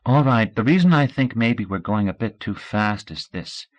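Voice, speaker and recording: Brief: earnest teenage boy athlete, lively raised voice, read by a male narrator. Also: lowish voice, normal speed, highs cut off, voice pushed up, close to an everyday voice.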